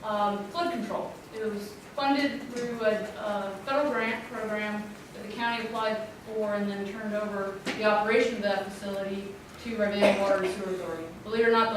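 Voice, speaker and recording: A woman with a loud, clear voice presenting a water infrastructure plan at a public community meeting. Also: mid-range voice. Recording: echoey room, distant mic, background noise.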